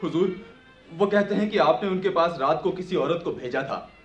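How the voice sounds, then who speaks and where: A man's voice: a little high, moderate pace, natural voice. A man acting a flustered, obsequious royal vizier in a radio play, plaintive and deferential. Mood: aggrieved, pleading. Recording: roomy echo, faint music behind.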